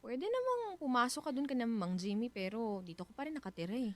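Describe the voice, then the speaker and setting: A woman with a Filipino accent playing a soft-hearted, attached wife in a radio drama, speaking in a hesitant, emotive way. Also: on the high side, expressive, fast, natural voice. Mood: wistful.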